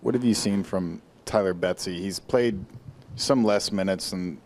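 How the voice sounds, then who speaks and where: A man working as a reporter, asking a coach a question at a press conference in a plain, measured way. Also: deep voice, a little mellow, a little hiss or hum.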